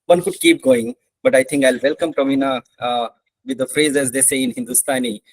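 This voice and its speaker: Husky man giving a formal introduction. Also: mid-range voice, expressive, fairly quick, no room sound, low-quality recording. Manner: respectful, reads a biography. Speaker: conference host introducing the speaker. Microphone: computer mic on video call.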